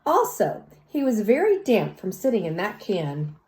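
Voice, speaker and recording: A woman reads a children's story aloud in an expressive, teacherly way, speaking as if to young listeners. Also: medium pitch. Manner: teacherly, pauses to question and comment. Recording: roomy, echoey sound.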